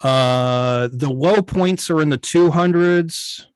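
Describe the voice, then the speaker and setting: A man answering casually as a guest on a video call, halting and searching for his words. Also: fairly high pitch, clear, resonant tone, no room sound. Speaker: guest, internet old-time radio station operator. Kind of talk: casual conversational interview.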